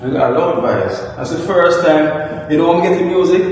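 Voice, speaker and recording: High-voiced Jamaican man, very echoey. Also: normal speed. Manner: animated, breaks into deejay-style singing. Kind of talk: casual chat. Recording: very echoey hall with faint noise.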